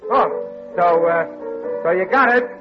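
An American actor playing a stubborn, soft-hearted middle-aged family man who stammers ungrammatically in a 1940s radio comedy. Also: sits high, unhurried, music in the background. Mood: defensive, soft. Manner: ungrammatical, stammering, exasperated bluster. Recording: dull vintage radio recording.

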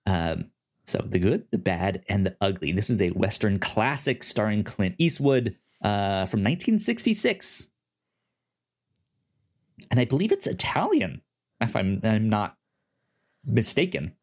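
Halting man chatting on a podcast. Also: fairly low pitch, sing-song, darkish tone. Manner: halting, stammering, repeats words. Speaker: podcast co-host. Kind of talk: casual chat pitching films on a movie podcast.

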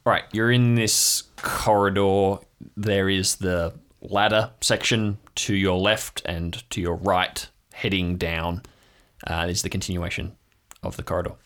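Male game master, low-pitched, measured narration for an improvised tabletop audio adventure. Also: level tone, a bit bright.

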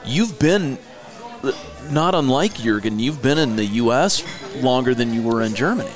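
A man's voice: medium pitch, lively intonation, normal speed. A man in his forties hosting a casual interview, curious and chatty, laughing easily as he talks. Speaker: host. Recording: clear music behind.

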